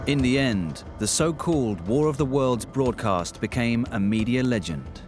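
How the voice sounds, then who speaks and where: A man reading scripted documentary narration in a measured, formal way. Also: mid-range voice, lively intonation, music in the background.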